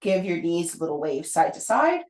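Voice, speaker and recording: Hoarse woman, pilates instructor, patient step-by-step cueing, echoey room. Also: on the low side, normal speed. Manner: calm, encouraging step-by-step cueing. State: hoarse, getting over a cold. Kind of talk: guided pilates class cues. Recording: roomy echo.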